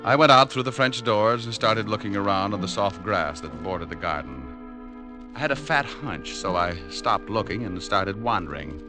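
A hardboiled private detective from a vintage radio show, played by a male actor. His American voice sits low, and he delivers wry, laconic lines like a man who has seen it all. Music plays prominently behind him on the old recording. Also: normal speed, close to an everyday voice. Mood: wry narration. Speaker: lead actor and narrator. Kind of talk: 1950s radio detective acting, hardboiled narration.

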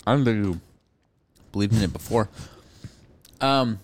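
A man's voice: on the low side, measured pace, a little hiss or hum. A young man co-hosting a podcast, chatting casually in a rambling, self-deprecating, joking way. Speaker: podcast co-host. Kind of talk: casual comedic chat.

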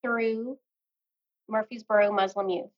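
A woman's voice: medium pitch, fairly even intonation, unhurried, lo-fi sound. A woman hosting a podcast, asking encouraging, friendly questions in an interview. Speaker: podcast host.

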